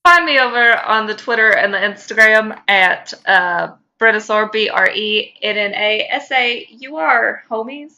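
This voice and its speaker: A woman's voice: mid-range voice, a little slow, few pauses, sharp tone, muffled recording, slight background noise. A woman co-hosting a comedy podcast, talking casually and reading fan fiction aloud. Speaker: podcast co-host.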